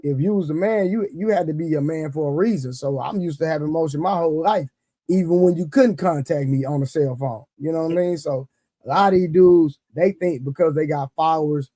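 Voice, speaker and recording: A man's voice: fairly high pitch, brisk, dark tone, dry recording. A middle-aged man chatting casually and reflectively, often checking that he is understood. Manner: reflective, punctuates with "you know what I'm saying". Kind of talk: casual chat.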